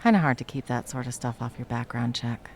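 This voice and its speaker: A woman's voice: very deep voice, brisk, creaky, slight background noise. A woman playing a smug, nonchalant villain who works as a barista, dry and teasing in a comedic ASMR roleplay. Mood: casual, deadpan. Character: smug, deadpan young villain working as barista.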